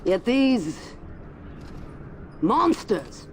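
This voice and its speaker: An actor playing a cold, zealous warlord master in a video game cinematic, speaking in a deliberate, solemn and commanding way. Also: male voice, high-pitched, sing-song, slight background noise, exaggerated voice. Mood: grim conviction.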